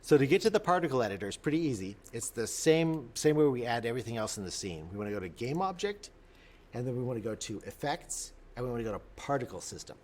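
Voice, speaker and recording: A man explaining software step by step in an unscripted tutorial, often repeating and rephrasing himself as he goes. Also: medium pitch, sing-song, fast talker, a little hiss or hum.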